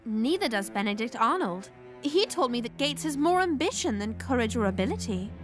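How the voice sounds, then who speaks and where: A woman voicing a spirited, determined young reporter who talks briskly. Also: on the high side, big pitch swings, music in the background.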